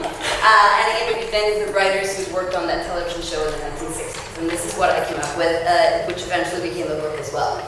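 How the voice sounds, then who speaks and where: A woman author giving an expressive, emphatic public reading of a written essay at an event, with clear phrasing. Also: mid-range voice. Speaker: author reading her essay at a book launch. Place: indoor launch-party event space. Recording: roomy echoing event space, distant mic, faint noise.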